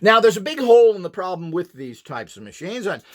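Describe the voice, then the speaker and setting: A man's voice: sits high, sing-song, a little fast. A man giving an informal, rambling lecture as an instructor, in a blunt, opinionated way with sarcastic asides. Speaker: instructor presenting a build tutorial.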